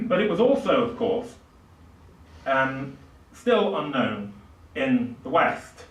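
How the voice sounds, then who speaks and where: An older man giving a public literature lecture, speaking haltingly with emphatic swells. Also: mid-range voice, sing-song. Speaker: lecturer and author introducing a book. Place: small indoor lecture room at a podium. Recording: distant, echoey small lecture room.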